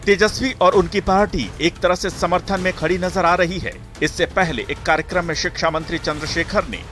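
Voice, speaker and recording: A man's voice: a little high, fairly bright tone. A man reading a scripted news report as a voice-over, in a dramatic, insinuating manner. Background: background music.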